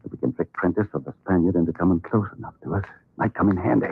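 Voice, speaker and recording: A man playing a shrewd, alert cowboy with a Western drawl and a gravelly, husky voice in an old radio western. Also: low-pitched, fast talker, exaggerated voice. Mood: plotting. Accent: American Western drawl. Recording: tinny vintage radio recording.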